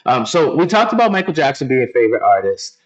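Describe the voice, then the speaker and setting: A man's voice: mid-range voice, very animated, fast talker, dull audio. A man hosting a casual interview, chatty and encouraging, often rephrasing his guest's answers. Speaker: interview host.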